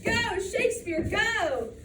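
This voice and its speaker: Woman as a peppy, high-pitched boy cheerleader. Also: animated, full character voice. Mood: rousing. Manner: loud drawn-out rhythmic chanting. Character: peppy cheerleader brother chanting Shakespeare as a cheer. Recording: roomy, distant mic, faint noise.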